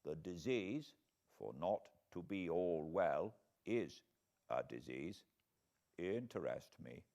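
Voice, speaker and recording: Slow, courtly old professor. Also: male voice, low voice, expressive, creaky, a little mellow, full character voice. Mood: grave, thoughtful. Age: older. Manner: slow, deliberate, halting broken-English phrasing. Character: elderly courtly foreign professor, kindly, grave, wise. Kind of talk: audiobook character voice.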